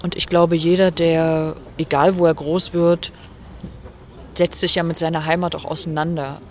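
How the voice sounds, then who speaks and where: A woman's voice: sits low, level tone, moderate pace, slight background noise. A middle-aged woman from northern Germany giving reflective, articulate interview answers in long, explanatory sentences. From Rostock, northern Germany. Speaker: interviewed author.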